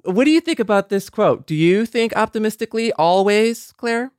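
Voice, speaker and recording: A man's voice: high-pitched, moderate pace, a little mellow, no echo, studio quality. A man hosting a podcast in a conversational style. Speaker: podcast host.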